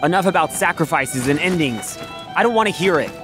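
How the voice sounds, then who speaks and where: An actor playing a young, protective soldier hero in a video game, with a punchy, emotional delivery. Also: male voice, on the high side, lively intonation, a little fast, music in the background, natural voice. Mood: defiant. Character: young heroic male soldier, brash, protective, earnest. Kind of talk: English video game dub acting.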